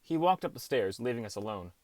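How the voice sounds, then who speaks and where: A man's voice: mid-range voice, lively intonation, a little fast, darkish tone, no room sound. A man reading a scripted first-person story as an audiobook narrator.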